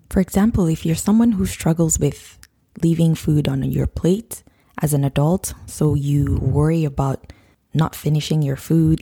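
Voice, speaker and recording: A woman hosting a parenting podcast, talking straight to listeners while croaky from a cold. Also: low voice, warm tone. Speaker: podcast host, nutrition counselor. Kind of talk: scripted podcast intro, then casual talk to listeners.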